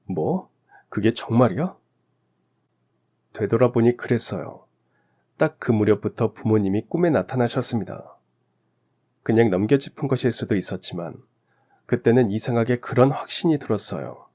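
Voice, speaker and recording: A male narrator tells a sentimental story in the first person. His voice is mellow and mid-pitched, with a scripted radio-drama delivery. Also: lively intonation, normal speed.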